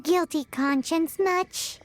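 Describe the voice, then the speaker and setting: A woman voicing a tiny, childlike fairy companion in a video game, squeaky, blunt and nosy. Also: takes it slowly. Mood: puzzled, cheeky. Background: faint background music.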